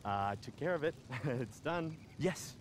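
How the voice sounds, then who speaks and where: An actor playing a spoiled young rich party boy in a game cutscene, halting, cocky and foul-mouthed. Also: a little high, animated, noisy background. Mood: nervous, eager. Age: young adult. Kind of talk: video game cutscene acting.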